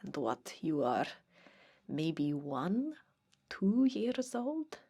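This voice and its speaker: An ancient, haughty female vampire, played by a woman, speaks in a breathy, hushed whisper. Her delivery is slow and deliberate, full of formal, cold disdain, as in an ASMR roleplay. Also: sits low, very animated, full character voice. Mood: haughty dismissal. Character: haughty, cold, centuries-old female vampire blood hunter recruiter.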